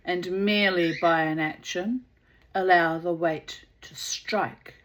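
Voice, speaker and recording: A woman with a New Zealand accent reading a cool, measured female robot psychologist in a storybook, explaining firmly. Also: lowish voice, poor audio.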